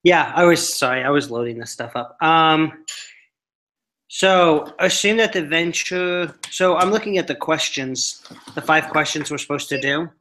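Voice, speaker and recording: A man's voice: fairly high pitch, unhurried, pushed and bright. A man chatting casually on a video call with a study partner, laid-back and easily distracted, cracking jokes before getting to coursework.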